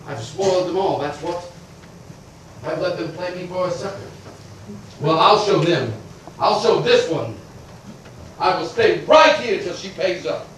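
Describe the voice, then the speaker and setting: A man's voice: sits high, animated, measured pace, pauses often. A man plays a middle-aged landowner in a permanent fury, ranting in a raised voice. The sound is far from the mic, with heavy room echo. A faint hiss sits under it, as on an old stage recording. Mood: indignant. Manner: ranting, blustering, swings from shouting to grumbling. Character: irascible blustering male landowner creditor, middle-aged. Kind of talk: theatrical stage acting.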